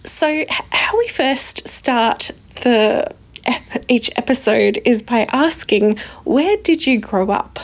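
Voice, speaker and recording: A woman's voice: on the high side, animated, slow pace. A woman hosting a podcast, upbeat and enthusiastic in conversation. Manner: upbeat, enthusiastic, laughs easily. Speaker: podcast host. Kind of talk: podcast hosting and sponsor read.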